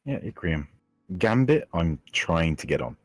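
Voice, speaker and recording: Teen male co-host, low husky register, casual podcast banter, light music bed underneath. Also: big pitch swings, a little slow. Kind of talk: casual group chat.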